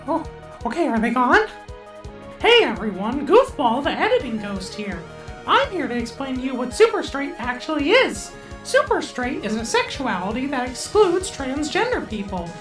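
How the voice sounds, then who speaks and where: A woman's voice: sits high, very animated, normal speed, a bit bright. A woman voicing an outspoken editing ghost, explaining things casually and bluntly with some swearing. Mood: exasperated explaining. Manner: blunt, profane. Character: cheeky outspoken ghost who edits videos. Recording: echoey, music behind.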